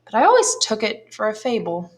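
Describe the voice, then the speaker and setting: Woman voicing a high-strung, curious lady. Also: huge pitch swings. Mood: eager, probing. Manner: raised pitch, eager, slow questioning.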